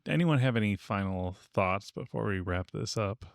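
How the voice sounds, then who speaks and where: A man's voice: lowish voice, expressive, normal speed, gravelly, no room sound, clean studio sound. A man co-hosting a podcast in a casual, conversational discussion. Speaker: podcast co-host.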